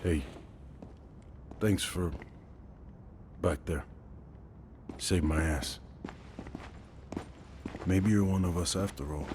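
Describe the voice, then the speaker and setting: An actor playing a gruff but loyal battered soldier in a video game, with a wry, sardonic manner. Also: male voice, low voice, takes it slowly, pauses often, darkish tone. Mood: wry, grateful. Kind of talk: video game cutscene acting. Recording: faint ambient noise behind.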